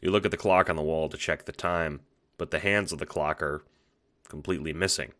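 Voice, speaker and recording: Deep-voiced man narrating with suspense. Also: steady tone, fairly bright tone. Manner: halting, suspenseful delivery with dramatic pauses. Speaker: audio drama narrator. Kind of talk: dramatic scripted second-person narration.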